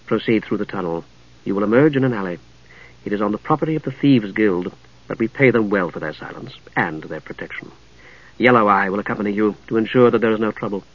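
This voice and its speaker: A man's voice: on the low side, fast talker, highs cut off, pitched below the performer's own voice, natural voice. A man voicing a regal, knowing middle-aged female knight with cultured, composed diction in a fantasy audiobook. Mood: brisk, assured.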